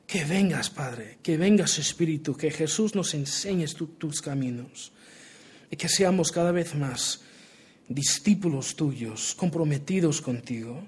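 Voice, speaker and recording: A man's voice: fairly high pitch, expressive, husky. Man preaching in Spanish with a foreign accent. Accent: Portuguese and American in Spanish. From Portugal. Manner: earnest, halting with restarts. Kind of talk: sermon, Bible reading and prayer.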